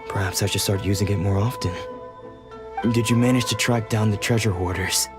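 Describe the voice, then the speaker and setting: A man's voice: lowish voice, fairly even intonation, a little fast, over music, lightly acted. An actor playing a weary man who lost his memories, speaking in a brooding, melancholic way. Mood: dry. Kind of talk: video game voice acting. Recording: game score behind.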